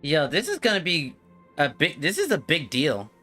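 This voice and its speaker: A man's voice: a little high, wildly swooping pitch, moderate pace, bright, forceful tone, soft background music. A man doing casual live game commentary, explaining emphatically in slangy speech. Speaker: game streamer.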